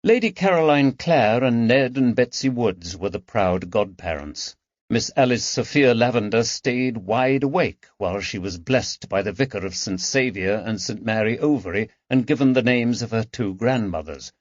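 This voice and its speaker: A man's voice: mid-range voice, animated, moderate pace, no room sound. A man reading scripted narration from a period mystery novel as an audiobook narrator. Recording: dull lo-fi recording.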